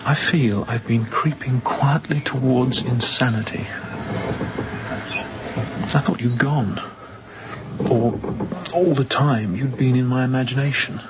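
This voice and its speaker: An actor playing a tense, haunted young sea captain in a radio drama, quiet-spoken and sometimes dropping to a whisper. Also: male voice, medium pitch, takes it slowly, pauses often, highs cut off, background noise, close to an everyday voice. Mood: anxious, confiding.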